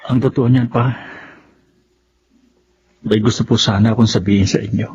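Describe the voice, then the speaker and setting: A dull, noisy recording holds a mid-pitched Filipino male voice of an actor. He plays a subdued grown son, hesitant and respectful in radio drama style. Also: steady tone, moderate pace, natural voice.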